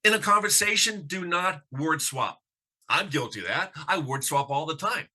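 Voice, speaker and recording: A man's voice: fairly high pitch, animated, normal speed, pushed and bright, slightly rough. A man who stutters, giving a motivational conference talk with emphatic rhetorical questions. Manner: stutters with sound repetitions. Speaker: conference speaker.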